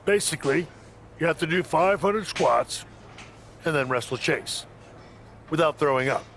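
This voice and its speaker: A man's voice: on the high side, expressive, a little slow, fairly bright tone, slight background noise. A man playing a wry wrestling trainer who sets challenges, in video game cutscene dialogue. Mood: wry, challenging.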